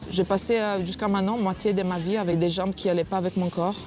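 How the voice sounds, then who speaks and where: A woman in her thirties from Sardinia with an Italian accent, talking haltingly in a documentary interview. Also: mid-range voice, little pitch movement. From Sardinia, Italy. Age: 30s. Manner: hesitant, many restarts and fillers. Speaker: cosmetic surgery patient. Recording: music playing underneath.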